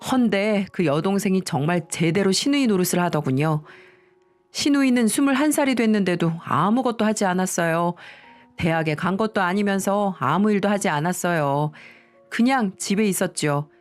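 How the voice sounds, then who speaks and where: A woman's voice: medium pitch, expressive, normal speed. Woman narrating a personal story over music. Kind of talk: scripted first-person confessional narration.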